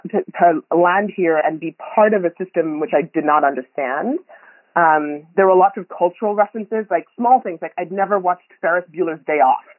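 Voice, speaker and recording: A woman's voice: sits low, no room sound. A woman from Kenya, a podcast guest, talking reflectively with many ums and frequent laughs. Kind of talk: conversational interview. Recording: tinny phone line, dry.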